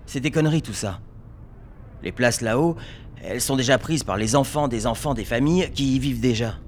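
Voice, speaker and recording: An actor playing a naive, dreamy young conscript with a casual, slangy way of talking in an audio drama. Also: male voice, medium pitch, fairly quick, slight background noise, lightly acted. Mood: incredulous.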